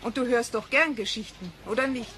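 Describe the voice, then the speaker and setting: A woman's voice: fairly high pitch, a little fast, fairly bright tone, close to an everyday voice. A woman playing a fussy mother who chides and coaxes her small child in a sing-song way, in a children's radio play. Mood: chiding. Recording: clearly noisy background ambience.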